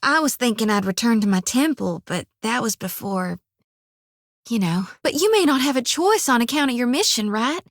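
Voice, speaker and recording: A woman's voice: on the high side, expressive, moderate pace, no room sound, studio quality. An actress voicing an earnest, devout young farm-raised woman priest, folksy and stubborn. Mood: affectionate. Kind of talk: video game voice acting. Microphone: dry studio mic.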